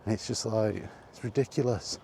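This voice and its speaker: A man's voice: on the low side, moderate pace, creaky, darkish tone, slight background noise. A man rambling casually in a weary, grumbling way, as in a freewheeling solo vlog. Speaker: vlogger. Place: walking while talking, ambient noise behind.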